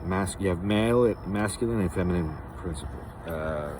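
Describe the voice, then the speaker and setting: A man with a husky voice giving a rambling, unscripted spiritual monologue, emphatically repeating phrases for stress as he hosts. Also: low-pitched, normal speed, background noise. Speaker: host of a spiritual series.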